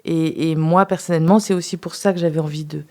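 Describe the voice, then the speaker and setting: Woman therapist talking on a radio show, lowish mellow voice, calm and thoughtful. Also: fast. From France. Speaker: sex therapist guest. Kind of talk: radio talk show discussion.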